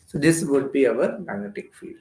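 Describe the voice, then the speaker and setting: A man's voice: medium pitch, animated, moderate pace, darkish tone. A man with an Indian accent giving an explanatory exam-prep lecture as a physics teacher, going step by step and repeating phrases.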